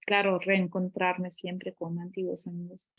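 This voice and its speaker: A woman's voice: on the low side, monotone, a little slow. Hesitant woman talking over a video call. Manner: hesitant, many fillers and restarts. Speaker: guest polyglot. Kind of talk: casual conversation in a second language. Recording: slightly dull lo-fi audio.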